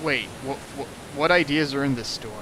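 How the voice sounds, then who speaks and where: A man's voice: medium pitch, moderate pace, pushed and bright, slight background noise, rough recording. A man casually riffing on a text he reads aloud as host, in a sarcastic, mocking manner. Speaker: host of a fan fiction dramatic reading.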